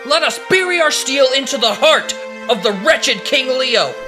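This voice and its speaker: Woman playing a high-voiced fiery young swordsman. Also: lively intonation, moderate pace, over music. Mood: defiant. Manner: bold, declamatory. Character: fiery young male thief-actor declaiming stage verse. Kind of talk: theatrical audiobook acting.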